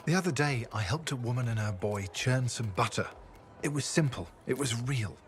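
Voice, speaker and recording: An actor voicing a video game's haunted defector, a former state assassin with a halting, brooding, confessional delivery. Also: male voice, mid-range voice, a little hiss or hum, natural voice. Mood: weary, haunted. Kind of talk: video game character dialogue.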